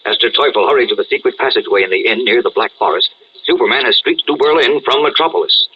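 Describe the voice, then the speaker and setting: A man's voice: medium pitch, lively intonation, fast talker, husky, highs cut off. An American man narrating a 1940s radio serial in an emphatic, suspenseful, theatrical style. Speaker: narrator and announcer.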